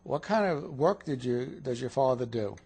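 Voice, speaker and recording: A man's voice: mid-range voice, animated, normal speed, creaky, a little mellow, husky. A man interviewing a client in a counseling session, asking short questions and repeating her answers back to check them.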